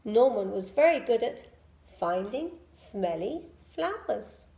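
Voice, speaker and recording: A woman reading a children's picture book aloud in an expressive storytelling style. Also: on the high side, takes it slowly, gravelly. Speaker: storybook reader. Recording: echoey room.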